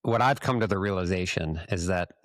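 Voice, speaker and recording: Deep-voiced man, very dry sound. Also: fairly quick, no room sound. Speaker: podcast co-host. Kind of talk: conversational business podcast.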